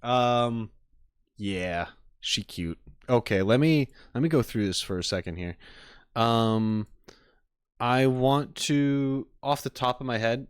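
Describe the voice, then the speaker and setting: A man with a smooth, mid-pitched voice reacts casually for a stream. He talks slowly and informally, thinking out loud. The sound is close and dry. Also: no echo. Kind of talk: casual reaction commentary to chat.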